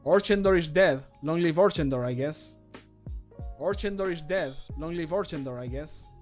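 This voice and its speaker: Guy doing a theatrical fantasy game companion, wry, slightly high voice, faint music behind. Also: moderate pace, lightly acted. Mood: wry, dry. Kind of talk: video game voice lines.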